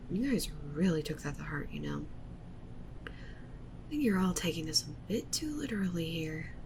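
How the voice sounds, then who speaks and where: A woman playing a clingy, possessive yandere witch streamer in an ASMR roleplay, pleading intimately with the listener and often slipping into breathy whispers. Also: fairly low pitch, background noise. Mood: defensive, exasperated.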